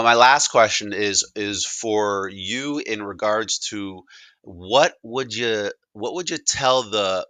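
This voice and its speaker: A man with a fairly low, forceful voice presents a demo in a casual, unscripted way. He is enthusiastic and rambles, hesitating as he goes, in a dry, close sound. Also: no echo.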